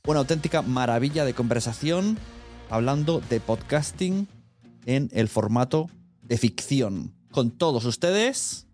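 A man's voice: mid-range voice, animated, measured pace, darkish tone, soft background music. Spanish man hosting a casual podcast. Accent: Spain. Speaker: podcast host.

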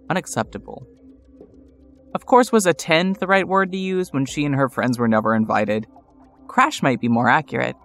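A man's voice: medium pitch, fairly bright tone. A man narrating a scripted story with wry, theatrical emphasis. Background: music score.

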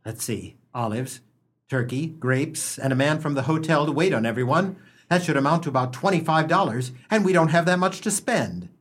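A man's voice: on the high side, fairly bright tone, husky. Man playing a sensible, dry-humored husband. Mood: wry exasperation. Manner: measured, dry, reasoning. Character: sensible, cautious, wryly exasperated husband. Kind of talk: humorous stage-style radio play acting.

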